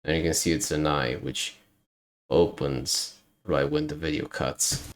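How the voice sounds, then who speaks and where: A man's voice: extremely low pitch, fairly even intonation, unhurried. A man giving casual, explanatory commentary as a video host, talking informally as if thinking aloud. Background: music under the voice.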